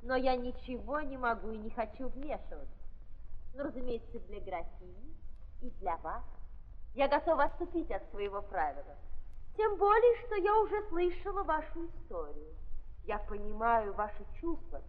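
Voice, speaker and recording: An actress playing a gracious, coquettish and self-important married lady of high society in 1930s theatrical style. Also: very animated, normal speed. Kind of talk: 1930s theatrical radio acting. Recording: dull 1930s recording with hall reverb.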